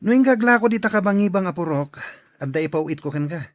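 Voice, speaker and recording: A man plays a crooked dealer in an audio drama, jovial and persuasive. His voice sits fairly high and moves around a lot, recorded dry and close. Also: expressive, fast, dry recording. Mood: coaxing. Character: scheming, jovial male drug dealer luring poor neighbours.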